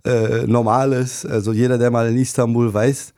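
Gravelly German man, emphatic talker. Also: mid-range voice, flat delivery, moderate pace, a little mellow, dry recording, studio quality. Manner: emphatic, laughs while ranting. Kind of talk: casual discussion.

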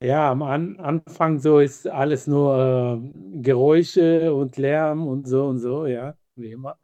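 Israeli-accented guy, mellow dark voice, lots of ums, dry sound. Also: medium pitch, no echo. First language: Hebrew. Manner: halting, many fillers, non-native grammar. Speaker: band member. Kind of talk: interview answers.

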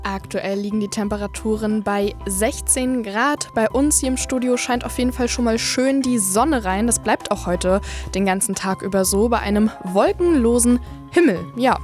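A young woman hosting a morning radio show, giving the weather and chatting informally in the studio. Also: fairly high pitch. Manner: chatty, quick, informal with fillers. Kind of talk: casual radio chat and weather.

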